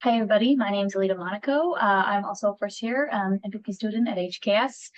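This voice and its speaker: A woman from New York City, a policy student, introducing herself in a brisk, friendly way. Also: mid-range voice, muffled recording. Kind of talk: self-introduction at a meeting.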